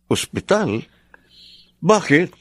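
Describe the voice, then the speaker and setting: A man's voice: a little high, big pitch swings, slow, a bit bright, no echo, full character voice. A concerned middle-aged father, dry sound. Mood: alarmed. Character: apologetic, startled middle-aged father on the phone. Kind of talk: Filipino radio drama acting.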